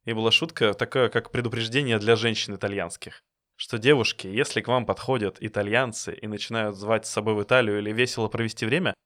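Chatty low-voiced male podcast co-host. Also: fairly even intonation, fast talker, a bit bright. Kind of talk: casual podcast conversation.

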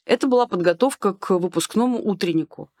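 A woman's voice: medium pitch, fast, a little mellow. A woman in casual podcast conversation, guest, telling detailed stories from her work with children.

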